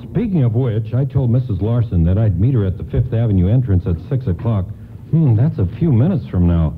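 Animated folksy doorman, played by a man. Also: fairly low pitch, fairly quick, lightly acted. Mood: earnest, friendly. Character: friendly, plain-spoken male department store doorman. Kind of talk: radio play acting. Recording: muffled, faintly noisy radio broadcast.

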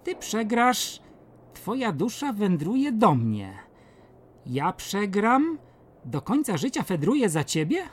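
A woman's voice: mid-range voice, big pitch swings, slow, slightly rough, exaggerated voice. A woman voicing a sly, boastful male devil in a radio play, wheedling and theatrical. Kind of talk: radio drama acting.

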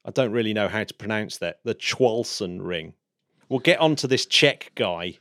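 A man hosting a space podcast in a casual, chatty way, laughing often and throwing in quick asides. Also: fairly low pitch, animated, bright, forceful tone, dry recording, clean studio sound. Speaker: podcast host. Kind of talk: casual chat.

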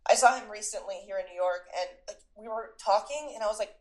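A young woman talking as a guest in a relaxed interview, her pitch sitting mid-range. She tells stories in a quick, chatty way, full of "like" and acted-out quotes, and often laughs along. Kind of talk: casual interview chat.

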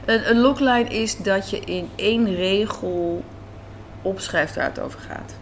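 A woman's voice: mid-range voice, unhurried. A woman being interviewed as a writer, talking informally, thinking aloud and repeating phrases for emphasis. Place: café. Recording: faint background room noise. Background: faint café ambience.

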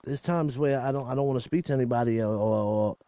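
A man's voice: medium pitch, fast, dark tone, no echo. A man from Coney Island with a New York accent, talking candidly as an interview guest and often repeating himself and restarting. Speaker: guest, battle rapper. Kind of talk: casual interview talk.

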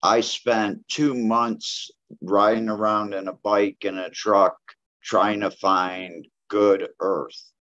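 Slow, blunt man on a dull recording. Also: lowish voice, little pitch movement, fairly bright tone, no echo. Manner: blunt, rambling with many fillers. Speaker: cannabis grower guest. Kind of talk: casual interview talk. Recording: dull lo-fi audio.

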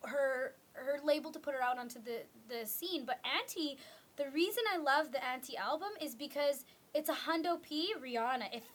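A woman's voice: high voice, moderate pace, a bit bright. A young woman from Canada co-hosting a casual chat show, talking freely with many fillers and restarts. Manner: chatty, lots of "like" and restarts. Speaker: co-host.

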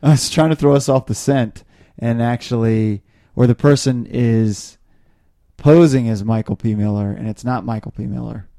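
A man's voice: mid-range voice, fairly even intonation, normal speed, gravelly, dark tone, no echo, studio quality. A man co-hosting a podcast in casual, chatty banter. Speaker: podcast co-host.

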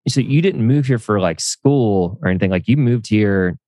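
A man's voice: fairly low pitch, expressive, normal speed, mellow, no room sound. A man hosting a casual interview, asking easygoing questions. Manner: easygoing, short prompts and laughs. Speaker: podcast host, interviewer.

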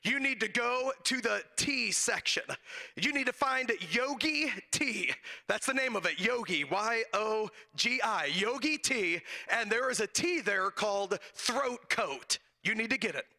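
A raspy, hoarse man preaches from the pulpit, his voice pitched high and bright. He spins a folksy personal story and breaks into laughter again and again. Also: animated, unhurried. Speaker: guest preacher. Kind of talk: sermon with humorous personal story. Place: church sanctuary, from the pulpit. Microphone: handheld microphone.

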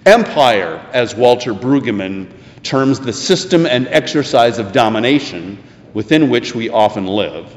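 An American man preaching a sermon, telling a scripture story with deliberate, emphatic phrasing and pauses for effect. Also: mid-range voice, lively intonation. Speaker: preacher, pastor. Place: church sanctuary. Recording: echoey, reverberant hall.

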